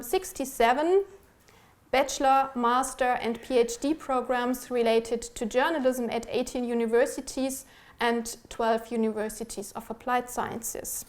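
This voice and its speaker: Higher-pitched woman giving an academic presentation. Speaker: academic researcher presenting project results. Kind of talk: prepared academic presentation with slides.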